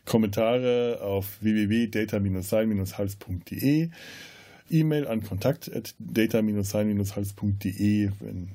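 Male podcast host, unhurried and raspy, wry casual chat. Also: fairly low pitch, mellow.

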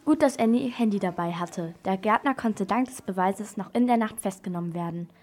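Female narrator of medium pitch. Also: brisk, a little mellow. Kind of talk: scripted storytelling for children's radio play.

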